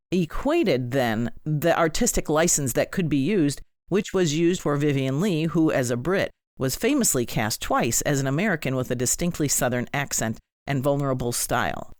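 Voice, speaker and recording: A man's voice: on the high side, no echo, clean studio sound. A man hosting a podcast, giving conversational commentary with wry, informal asides. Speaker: podcast host.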